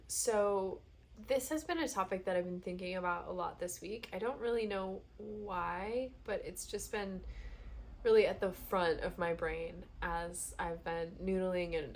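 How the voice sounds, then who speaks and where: Brief: woman in her thirties, stuffy and nasal from a cold, rambling vlog chat, lowish voice. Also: a little hiss or hum. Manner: chatty, meandering, thinks aloud with fillers. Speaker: vlogger. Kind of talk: casual rambling monologue.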